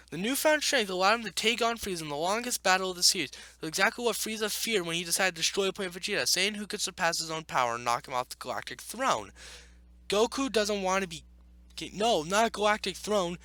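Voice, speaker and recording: High-pitched, animated man commenting as he reads. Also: brisk, no echo. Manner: opinionated, blunt, argumentative. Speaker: video host reacting to an article. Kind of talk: reading aloud with off-the-cuff commentary.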